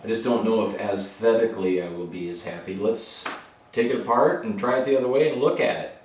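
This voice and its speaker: Low-voiced man musing in a roomy garage. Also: brisk, slight background noise. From Minnesota, USA. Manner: relaxed, musing, thinks aloud with fillers. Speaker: motorcycle builder and channel host. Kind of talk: casual workshop vlog. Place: home garage workshop. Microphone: camera mic, moving around the room. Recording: roomy garage, sometimes far from mic.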